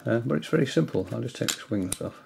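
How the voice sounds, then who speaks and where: An older man at home giving a hesitant, casual tutorial, often pausing with fillers as he shows his reels. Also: mid-range voice, animated, vocal fry, slight background noise. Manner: hesitant, many fillers and restarts. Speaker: angling hobbyist giving a tutorial. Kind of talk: casual explanatory demonstration. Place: indoors, small room at home.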